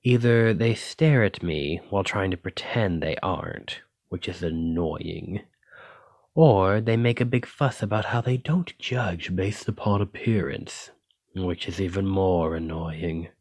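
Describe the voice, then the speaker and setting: Dragon-blooded male librarian played by a man for ASMR romance: low voice, intimate, teasing, smitten and possessive, very dry close sound. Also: unhurried, darkish tone, no echo. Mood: wistful, fond. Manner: intimate, teasing, uses pet names.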